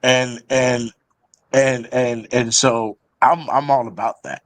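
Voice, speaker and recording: A man's voice: medium pitch, fairly even intonation, takes it slowly, no echo. A man in his thirties hosting a casual, opinionated panel talk show, speaking bluntly and emphatically and often repeating phrases for weight.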